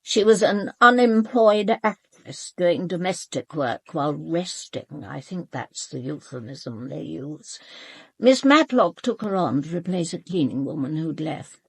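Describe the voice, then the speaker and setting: A woman's voice: low voice, expressive, measured pace, breathy edge, dry recording, full character voice. An actress playing a proud, elderly upper-class British mother in a radio drama, speaking formally and precisely with controlled, cool dismissiveness. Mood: haughty, controlled. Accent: upper-class British English. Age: older. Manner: formal, clipped, haughty, precise.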